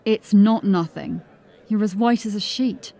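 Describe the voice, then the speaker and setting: Bold, wry watch officer, mid-pitched. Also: female voice, a little slow, vocal fry, dark tone. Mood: concerned. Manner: wry, teasing, confident. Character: bold, playful, catty female watch officer undercover. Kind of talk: fantasy audio drama acting.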